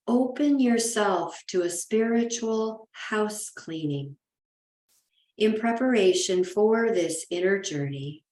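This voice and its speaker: A woman guiding a yoga nidra relaxation in a soothing, unhurried manner, with gentle pauses between phrases. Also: fairly low pitch, reverberant. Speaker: yoga nidra meditation guide. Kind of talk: guided relaxation meditation.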